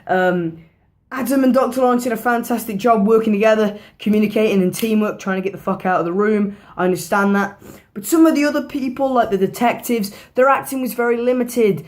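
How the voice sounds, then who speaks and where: Hoarse woman rambling about films. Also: on the high side, a little fast. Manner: rambling, swears freely, repeats herself. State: hoarse, losing her voice. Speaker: movie reviewer. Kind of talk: casual movie review vlog.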